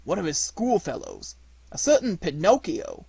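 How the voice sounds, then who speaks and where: Man acting little old man, storybook cottager, blunt, emphatic, kindly, raised pitch, animated. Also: moderate pace, gravelly, breathy edge, dry recording, full character voice. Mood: informative. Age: elderly.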